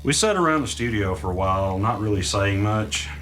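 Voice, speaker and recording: A man playing an uneasy podcaster who narrates his own mystery in the first person, deliberate and halting. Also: fairly low pitch, animated, fairly bright tone, soft background music. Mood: uneasy, brooding.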